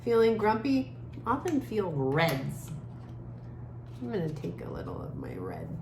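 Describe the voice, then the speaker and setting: An echoey, noisy room recording of a woman leading a creative drawing lesson for children. She speaks slowly at a middle pitch. Her manner is gentle and casual, thinking aloud with trailing pauses as she works. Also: huge pitch swings. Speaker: art teacher for children. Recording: echoey room with clear background noise.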